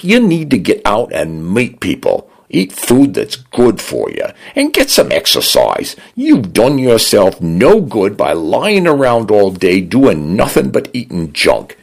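A man voicing a bossy male smart home with a Cockney-leaning British accent and a bright, friendly tone. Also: mid-range voice, creaky, slightly rough, pitched above the performer's own voice, heavily acted. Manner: cheerful, preachy, stubborn.

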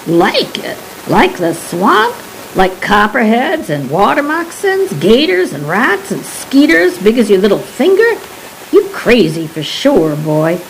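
A woman's voice: on the high side, wildly swooping pitch, unhurried, a little hiss or hum, voice pushed up, full character voice, rough recording. A woman voicing an old, superstitious Cajun swamp recluse man with a Cajun accent, speaking in broken dialect. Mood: scoffing. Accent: Cajun English, put on. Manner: broken dialect, pleading warnings.

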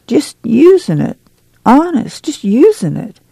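Lady reader as a meek flustered farm mom, whiny and pleading, pitched up, folksy dialect, creaky. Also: a little slow, warm tone, no echo. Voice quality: whining, bleating. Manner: apologetic, timid folksy rural dialect. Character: meek, flustered poor rural mother, ashamed and timid.

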